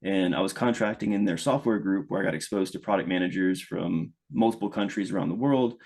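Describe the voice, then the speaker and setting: A man's voice: low-pitched, a little fast, a little mellow. A man from Louisiana, a guest product manager, telling his story thoughtfully, with frequent restarts. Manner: thoughtful, restarts often, speeds up when engaged. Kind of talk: conversational podcast interview.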